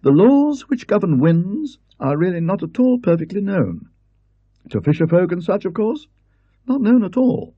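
A man's voice: high-pitched, sing-song, moderate pace, slightly rough, no echo, voice pushed up, heavily acted. A man doing a prim, fussy skeptic professor. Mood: lecturing. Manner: precise, fussy, slightly hesitant. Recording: dull lo-fi recording.

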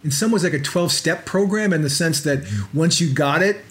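A man's voice: fairly high pitch, a little fast. A man interviewing a guest, asking questions and offering brief "right" acknowledgements.

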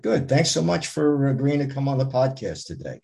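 A man's voice: medium pitch, big pitch swings, moderate pace, darkish tone. A man hosting and producing a podcast, reading a formal introduction and asking interview questions. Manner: formal, reads clearly.

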